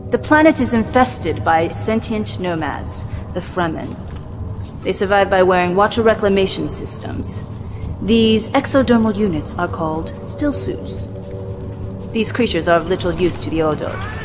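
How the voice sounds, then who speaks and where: A woman's voice: medium pitch, close to an everyday voice. An actress playing a dutiful, controlled female military mentor in a science fiction game, giving clipped, formal briefings. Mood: cool, formal. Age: young adult. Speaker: actor in game cutscenes. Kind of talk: formal scripted mission briefing. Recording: long hall reverb, music underneath. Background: background music.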